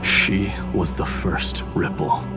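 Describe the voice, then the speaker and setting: An actor playing a proud, battle-worn god of strife in a video game, with solemn, grandiose declamation. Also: male voice, sits low, fairly even intonation, slow. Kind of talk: English game voice acting, epic dramatic dialogue. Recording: very loud music behind the voice.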